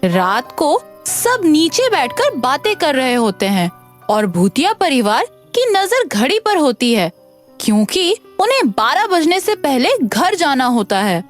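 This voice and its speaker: A woman narrating a scripted animated story for children. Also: high voice, unhurried. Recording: music under the voice.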